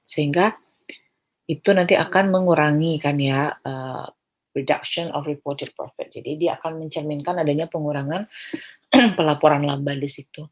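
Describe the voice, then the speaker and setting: A woman with an Indonesian accent and a low, husky voice, teaching as a lecturer. She explains step by step, switching into English terms and checking in with short tags as she goes. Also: fairly even intonation, normal speed, highs cut off. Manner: explanatory, often tags phrases with check-in particles. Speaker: accounting lecturer.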